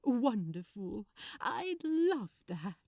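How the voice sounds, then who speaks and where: A woman voicing a gentle, polite male angel in a read-aloud story, with a soft, prim and courteous manner. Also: a little high, big pitch swings, drawn-out words, no echo, studio quality, exaggerated voice. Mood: bashful, pleased.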